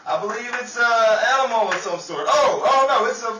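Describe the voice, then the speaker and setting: A man playing a bumbling, bewildered 1930s fast talker in a radio comedy, with theatrical delivery. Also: very high-pitched. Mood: alarmed, theatrical. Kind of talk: old-time radio comedy acting. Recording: echoey distant stage pickup, noisy audience.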